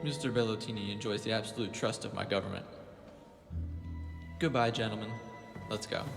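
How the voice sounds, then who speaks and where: An actor playing a haughty, indignant Italian government envoy in a dubbed wartime film, pompous and insistent. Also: male voice, lowish voice, fairly quick, gravelly, echoey room, big-room sound, over music. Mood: curt, formal.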